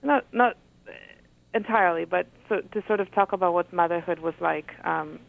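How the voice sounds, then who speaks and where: A woman whose first language is Polish, an author reading from her book and talking thoughtfully with many pauses. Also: fairly low pitch, gravelly. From Poland. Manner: thoughtful, hesitant with frequent ums. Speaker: author, guest. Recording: phone-like radio audio.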